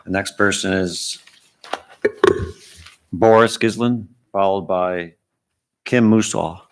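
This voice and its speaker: Deep, slow man calling speakers. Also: steady tone, low-quality recording. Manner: brief, procedural. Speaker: meeting chair calling speakers. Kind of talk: announcing the next speakers. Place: town hall meeting room.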